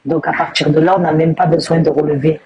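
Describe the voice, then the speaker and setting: A man's voice: a little high, flat delivery, quick pace, darkish tone, slightly rough, rough recording. A man in a live chat, giving reassuring advice to the others. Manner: reassuring, advising. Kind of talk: casual discussion.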